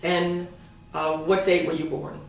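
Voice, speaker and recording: A woman conducting an oral history interview, gently prompting and often rephrasing her questions. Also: sits low, little pitch movement, a little slow. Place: room at a community center. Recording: echoey room, distant mic, faint hiss.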